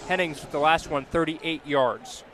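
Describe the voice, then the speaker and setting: A man calling football play-by-play live on radio, building to excited calls on big plays. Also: on the high side, unhurried, slight background noise. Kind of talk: live radio sports commentary. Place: live football game broadcast.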